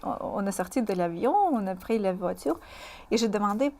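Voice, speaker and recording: A woman from Moscou in her thirties with a Russian accent, speaking French as a second language. She is a guest in a casual interview and talks hesitantly, with many fillers and pauses. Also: medium pitch, darkish tone. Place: community TV studio.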